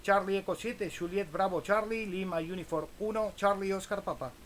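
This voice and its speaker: A man's voice: high voice, normal speed, heavy background noise. A man with an Argentine Spanish accent talking casually as an amateur radio operator. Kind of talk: casual ham radio exchange with spelled-out call signs.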